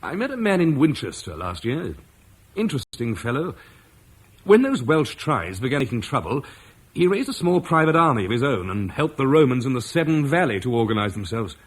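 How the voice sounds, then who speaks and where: An actor playing Marcus, a duty-bound Roman officer, in an old radio play, earnest and measured. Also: male voice, mid-range voice, very animated, breathy edge. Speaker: Roman commander leaving Britain. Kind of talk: 1950s BBC radio drama acting.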